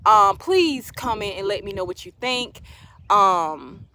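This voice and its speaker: A woman's voice: medium pitch, animated, takes it slowly, sharp tone, a little hiss or hum. A woman in her late thirties talking casually to her viewers, sharing opinions emphatically and repeating phrases for emphasis. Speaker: livestream host.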